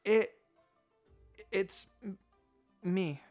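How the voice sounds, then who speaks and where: A man's voice: high-pitched, extremely slow. Guy doing a shy ninja girl, stutters and hesitates, story with music behind.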